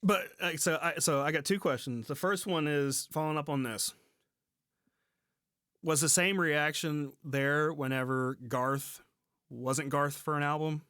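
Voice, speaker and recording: Male podcast co-host, fairly high voice, informal conversational delivery, dry recording. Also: fairly even intonation, unhurried, sharp tone, smooth, no echo. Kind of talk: casual podcast chat.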